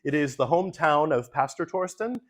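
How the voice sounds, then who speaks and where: A man's voice: on the high side, normal speed. A man, a pastor, talking casually through a slide presentation at a church forum, with frequent ums and uhs. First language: English. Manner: relaxed, many ums, talks through slides.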